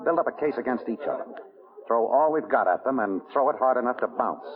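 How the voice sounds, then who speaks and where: A man playing a suave, wry gentleman detective who probes suspects in a 1940s radio crime drama. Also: mid-range voice, expressive, a little fast, a crowd in the background, lightly acted. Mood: wry, casual. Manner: wry, urbane, probing. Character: suave, wry gentleman sleuth running a murder investigation. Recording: muffled, dull 1940s radio recording.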